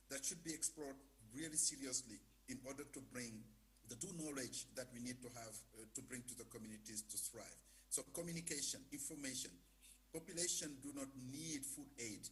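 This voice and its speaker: A man's voice: mid-range voice, sharp tone. A man from Senegal with a Senegalese French accent, earnestly answering a question on a conference panel and often repeating words as he restarts. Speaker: panel speaker. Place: conference hall. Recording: faint hum in a hall.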